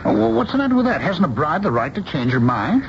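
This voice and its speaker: An exasperated, middle-aged American dad in a radio play. His pitch leaps up and down as he insists and stammers. The old broadcast sound is dull with a faint hiss. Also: big pitch swings, a little fast, creaky, full character voice. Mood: exasperated, scheming. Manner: insistent, stammering, repeating himself. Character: bossy, exasperated father of a bride, middle-aged man. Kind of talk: radio drama acting.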